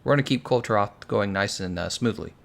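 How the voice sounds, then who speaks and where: A man's voice: on the low side, brisk, creaky. A young man with an American accent, chatting casually on a game stream, answering viewers and laughing often. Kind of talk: casual live-stream commentary.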